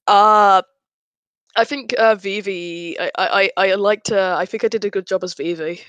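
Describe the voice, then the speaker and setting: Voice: mid-range voice, steady tone, moderate pace, a bit bright, clean tone, no echo. A podcast panelist chatting casually and hesitantly, in short broken fragments. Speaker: podcast panelist and fandub voice actor. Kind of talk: casual chat.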